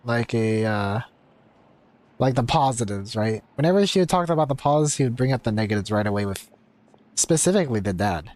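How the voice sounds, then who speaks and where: A young man streaming a game, chatting casually in slangy, halting commentary. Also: mid-range voice, lively intonation, darkish tone. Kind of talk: casual live gaming commentary.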